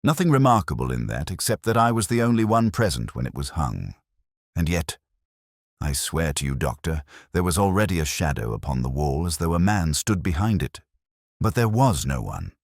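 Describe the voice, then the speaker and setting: A man's voice: rumbling low, sing-song, moderate pace, no room sound, studio quality, heavily acted. A man voicing a nervous, bewildered costumier's clerk in an audiobook mystery, formal, hesitant and deferential. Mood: bewildered, uneasy.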